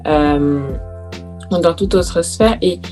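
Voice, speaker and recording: A woman hosting a podcast panel, asking her questions in a measured, drawn-out way. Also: on the low side, darkish tone, soft background music. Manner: measured, asks questions at length. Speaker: podcast host. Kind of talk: moderating a panel discussion.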